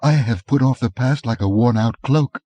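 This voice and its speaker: A man's voice: mid-range voice, moderate pace, mellow, voice pushed up, natural voice. A man reading an audiobook, voicing a nervous rich merchant who whispers and pleads in fear. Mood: pleading.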